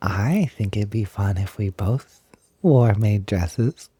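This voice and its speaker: A man's voice: lowish voice, lively intonation, takes it slowly, warm tone, husky, dry recording. A young man performing a one-sided audio roleplay as a playful, eager, feminine male roommate, chatty and coaxing with a hesitant delivery. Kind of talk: intimate improvised-sounding audio roleplay, one-sided conversation.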